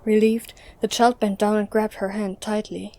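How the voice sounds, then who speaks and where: A woman's voice: medium pitch, level tone, normal speed, dark tone. A woman narrator reading scripted story narration for an audio drama.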